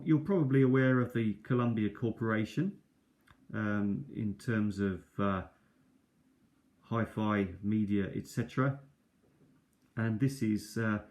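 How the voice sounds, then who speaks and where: A man's voice: medium pitch, a little mellow. A man hosting an unscripted, casual show-and-tell review, speaking in a hesitant, rambling way and often correcting himself. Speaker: host of a cassette collection review video. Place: kitchen table, indoors. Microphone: tablet built-in microphone.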